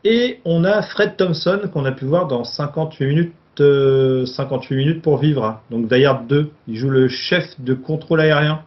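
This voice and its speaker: A man's voice: on the high side, moderate pace, hardly any pauses. A man co-hosting a casual film discussion, summarizing a plot with many hesitations and fillers. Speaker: film podcast co-host. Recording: dull lo-fi sound.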